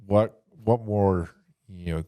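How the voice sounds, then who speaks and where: A man's voice: fairly low pitch, fairly even intonation, slow pace, dark tone, dry recording. A man hosting a podcast in a conversational devotional style, earnestly exhorting listeners and repeating phrases as he goes. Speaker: podcast host, church ministry speaker.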